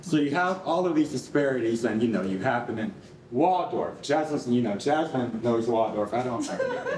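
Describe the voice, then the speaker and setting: Young man, informal and animated live presenter, conversational, heard at a distance in an echoing room. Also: medium pitch, normal speed, crowd noise. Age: young adult. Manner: conversational, animated, frequent "like" and "you know". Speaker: project presenter. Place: concrete-walled rehearsal hall before an audience. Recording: roomy, distant mic, faint noise.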